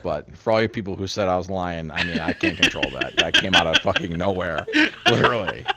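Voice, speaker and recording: Deep-voiced young man, loose podcast chatter. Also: expressive, fairly quick. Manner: laid-back, rambling, swears freely. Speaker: podcast host. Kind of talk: casual chat.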